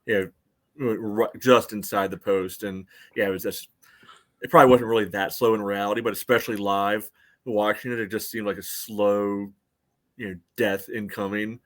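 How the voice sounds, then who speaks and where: A man with a fairly low voice talks as a sports podcast co-host. He goes over a soccer match casually, qualifying his points in a conversational manner. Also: steady tone, moderate pace, a bit bright.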